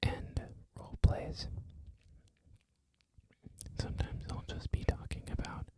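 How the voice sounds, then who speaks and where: An ASMR creator whispering a loose, rambling talk, full of "like" and "um" fillers. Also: slow. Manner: soft whisper throughout, frequent "like" and "um" fillers.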